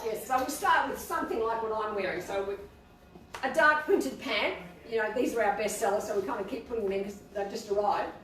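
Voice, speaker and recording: A woman's voice: a little high, fairly quick. Bubbly woman, echoey and distant. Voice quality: quiet voice. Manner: bubbly, rambling, self-deprecating. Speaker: fashion boutique co-host. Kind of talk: casual live-stream chat. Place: echoey room. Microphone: no mic, far from laptop camera. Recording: echoey room, far from mic, faint hiss.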